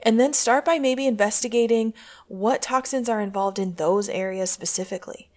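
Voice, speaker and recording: A woman's voice: a little high, normal speed, darkish tone. A woman hosting a podcast as a coach, speaking in an earnest, encouraging way. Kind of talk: podcast intro and interview.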